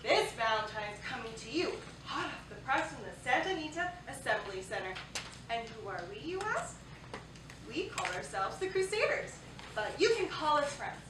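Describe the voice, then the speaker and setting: A high, ringing young woman's voice from a stage actress, playing a cheerful leader of wartime pen-pal girls. She projects brightly in a staged reading, heard from a distance with faint noise in the room. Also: big pitch swings, normal speed. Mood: cheerful, playful. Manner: upbeat, projected stage delivery. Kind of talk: staged reading of a historical play. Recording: echoey stage, distant mic, background noise.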